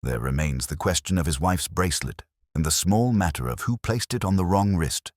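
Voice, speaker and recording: A man's voice: low voice, animated, normal speed, no room sound, studio quality. A man voicing a coolly precise, commanding Victorian detective with curt, cerebral delivery in an audiobook. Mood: calm, reflective. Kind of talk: audiobook character dialogue.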